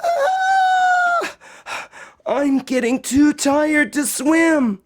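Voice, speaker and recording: A man's voice: very high-pitched, very animated, drawn-out words, raised voice, pitched above the performer's own voice, full character voice. A man voicing a plucky but worried young girl in a storybook. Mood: exhausted, alarmed. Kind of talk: storybook character voice.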